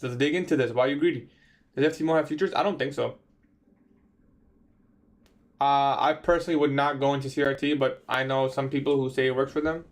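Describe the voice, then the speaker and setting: A man in his twenties chatting casually on a trading livestream, answering viewer questions in a laid-back, slangy way. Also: a little high. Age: 20s. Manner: laid-back, slangy, says bro a lot. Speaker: livestream trader.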